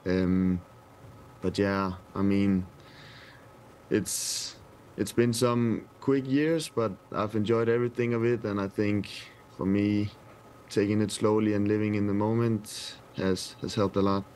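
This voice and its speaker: A young man in his twenties with a Danish accent, answering interview questions over a video call from a press room, hesitant and soft-spoken with many pauses. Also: on the low side, steady tone, slight background noise. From Denmark. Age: 20s. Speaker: footballer guest. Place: indoor press room with sponsor backdrop. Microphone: webcam video call.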